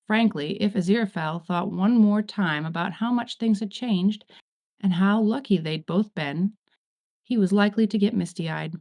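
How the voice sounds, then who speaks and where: A woman reading a story aloud in an expressive storytelling style. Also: medium pitch, normal speed. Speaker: fan fiction reader.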